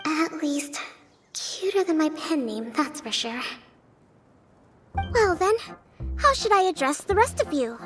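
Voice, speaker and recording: An actress voicing a composed and gracious young female strategist with a gentle manner, in game voice acting. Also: high voice, big pitch swings, slow, long pauses, exaggerated voice. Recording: game audio with music behind.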